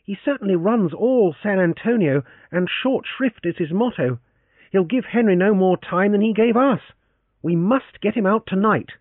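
A man plays an earnest, loyal young adventurer, raised in pitch and pressing hard. The audio is narrow and phone-like, with a dry, close sound. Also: lively intonation, moderate pace, no echo. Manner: forceful, earnest, indignant.

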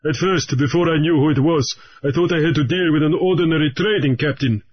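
A man's voice: a little high, brisk, muffled recording, pitched above the performer's own voice, close to an everyday voice. A man voicing a proud, sententious male pirate who speaks in a pompous, formal manner. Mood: haughty.

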